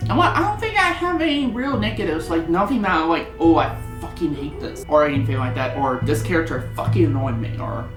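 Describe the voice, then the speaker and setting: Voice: mid-range voice, lively intonation, fairly quick, few pauses. A person giving a casual, opinionated film review in a rambling, conversational way. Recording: roomy echo, music underneath.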